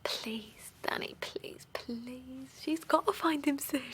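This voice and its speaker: A woman playing a chatty, excitable mum in a naturalistic audio drama. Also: high-pitched, takes it slowly, whispered. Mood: anxious, hushed. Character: Linda, chatty Essex mum, warm and excitable.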